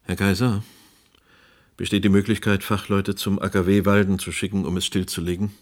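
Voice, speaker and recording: Radio drama, male actor: earnest broadcast presenter amid disaster, low-pitched voice. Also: little pitch movement, moderate pace, natural voice. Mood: grave, composed.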